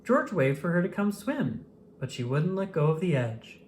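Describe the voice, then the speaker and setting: A woman reading a children's picture book aloud in an expressive storytelling manner. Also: fairly low pitch, normal speed, slight background noise. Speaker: storybook reader. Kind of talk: children's read-aloud narration.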